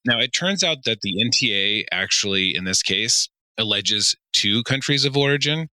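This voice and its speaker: A man's voice: lowish voice, expressive, normal speed, pushed and bright, no echo, rough recording. A man co-hosting a podcast, telling stories and explaining in a casual way. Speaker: podcast co-host.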